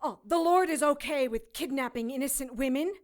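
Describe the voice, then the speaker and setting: A high female voice of a defiant occult doctor, played by a woman, firing back sharp, steady replies. She also narrates in a cooler tone. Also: fairly quick, close to an everyday voice.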